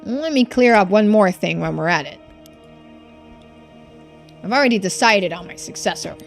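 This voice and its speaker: A woman's voice: medium pitch, animated, moderate pace, creaky, faint music behind. A woman voicing a cantankerous, dismissive old male tycoon from a video game, with blunt, folksy old-timer phrasing. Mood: reflective, gruff.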